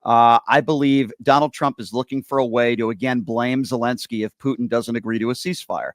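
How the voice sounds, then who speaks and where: A man's voice: medium pitch, steady tone, fairly quick, no room sound. American male political host, dry close sound. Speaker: political talk show host, interviewer. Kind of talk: opinionated political interview talk.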